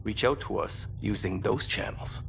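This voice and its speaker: A battle-worn military commander, with a low, creaky voice. He speaks in measured, authoritative game-cutscene style, with faint noise behind him. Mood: measured, serious. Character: battle-worn male mercenary colonel, commanding, measured, guarded. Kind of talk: dramatic game acting.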